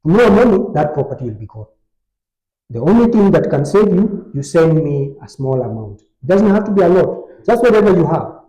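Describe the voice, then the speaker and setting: A man on a podcast, explaining an online scam step by step in a relaxed conversational way, with frequent fillers. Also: on the high side, fairly quick, reverberant, low-quality recording. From Kenya. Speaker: technology expert on a podcast. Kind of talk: conversational storytelling.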